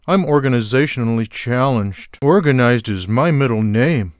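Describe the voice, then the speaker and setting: A man voicing a lazy, sarcastic, gluttonous cartoon cat with deadpan, wry delivery for a video game. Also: mid-range voice, moderate pace, no echo, clean studio sound, lightly acted. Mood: deadpan, smug. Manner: deadpan, wry one-liners. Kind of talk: video game character voice clips.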